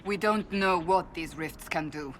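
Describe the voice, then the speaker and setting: A woman's voice: lowish voice, measured pace, in a large hall, noisy background, close to an everyday voice. A woman playing a stern, suspicious warrior in a video game, speaking bluntly and formally. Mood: firm, wary.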